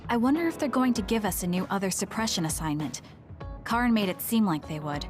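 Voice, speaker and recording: A woman's voice: mid-range voice, expressive, quick pace, close to an everyday voice. Woman voicing earnest reserved young soldier girl in an anime game, faint music. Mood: calm, serious. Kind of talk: anime video game dub acting.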